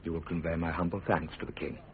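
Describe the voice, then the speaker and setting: An actor playing a wry, principled middle-aged English statesman, measured, dry and lawyerly, in a radio drama. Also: low-pitched, little pitch movement. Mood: earnest. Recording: phone-like lo-fi radio recording, faint noise.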